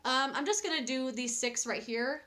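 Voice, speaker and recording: A fairly high-voiced woman in her thirties talks casually to a close camera in a small cluttered room at home. Her review is chatty and candid, full of fillers and restarts, and she rambles along as she speaks. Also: normal speed, pushed and bright. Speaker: beauty vlogger.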